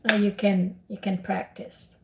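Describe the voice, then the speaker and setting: A woman who speaks English as a second language, hosting an online speaking practice session in a slow, encouraging way. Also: mid-range voice, flat delivery, vocal fry, echoey room, noisy background. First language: not English.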